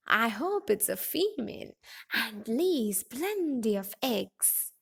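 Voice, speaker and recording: Casting: woman as old country wife in a fairy tale, greedy grumbler, husky and loud. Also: a little high, sing-song, takes it slowly, exaggerated voice. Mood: grumbling. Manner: grumbling, matter-of-fact. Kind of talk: children's storybook character reading.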